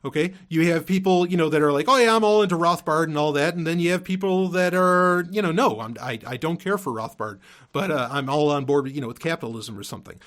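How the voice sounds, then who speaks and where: Rambling, higher-voiced man podcasting. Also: quick pace, a bit bright. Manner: rambling, emphatic, repeating himself, casually profane. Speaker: podcast host. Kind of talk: casual unscripted monologue.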